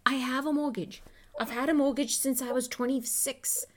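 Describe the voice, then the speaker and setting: A woman in her fifties talks in a relaxed, reflective chat, her voice sitting a little high. She laughs easily between thoughts. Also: moderate pace. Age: 50s.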